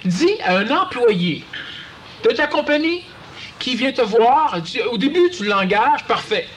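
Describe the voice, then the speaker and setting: Québécois male speaker, high and highly expressive, conversational lecture with faint room noise. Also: measured pace. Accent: Quebec French. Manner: animated, acts out quoted voices. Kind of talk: conversational talk to an audience.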